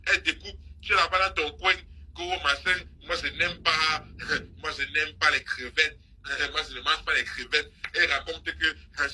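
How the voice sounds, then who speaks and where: A woman's voice: deep voice, sharp tone, breathy edge, poor audio. A woman hosting a live stream, scolding and mocking someone in an insistent, repetitive gossip rant. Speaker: social media live host.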